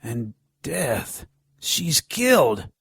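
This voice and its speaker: A seedy gnome informant in an audio drama. The voice is husky and full of fry, at an unhurried pace. He leers and wisecracks with sardonic relish. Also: mid-range voice, wildly swooping pitch, fairly bright tone, no echo, clean studio sound, exaggerated voice. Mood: intense, sly. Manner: leering, wisecracking. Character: lecherous, sardonic gnome informant with keen supernatural nose.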